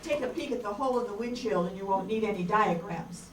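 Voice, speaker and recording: A woman's voice: medium pitch, fast, natural voice. A woman playing a brash, bossy hard-boiled detective with wry slang in an amateur radio play. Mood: bossy, urgent. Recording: far, echoey room with clear noise.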